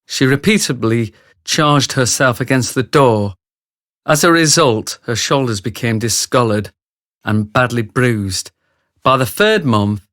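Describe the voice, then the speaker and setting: Man acting rough ex-soldier narrator in a radio play, mid-pitched, talks right at you, very dry sound. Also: fairly bright tone, no echo, natural voice. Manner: grave, confiding, addresses the listener directly. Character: remorseful thick brute ex-soldier, male confessional narrator.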